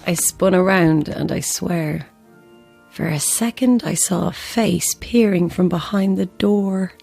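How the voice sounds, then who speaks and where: A woman's voice: lowish voice, slow, gravelly, a little mellow, soft background music, exaggerated voice. A middle-aged woman with an Irish accent playing a grieving mother telling a haunted story in an audio drama. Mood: sombre, resolute. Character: grieving mother recounting her daughter's haunting death.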